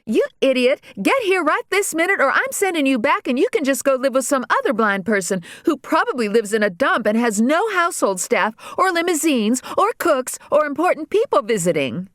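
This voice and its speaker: A woman voicing a shrill, bratty young woman. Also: big pitch swings, a little fast, hardly any pauses, a bit bright, no room sound, clean studio sound. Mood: scornful. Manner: screeching, bossy.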